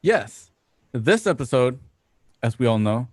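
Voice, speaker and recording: A man co-hosting a casual show, giving product pitches and scripted sponsor reads. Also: sing-song, a little slow, dry recording, clean studio sound, faint music behind. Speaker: co-host and sponsor reader.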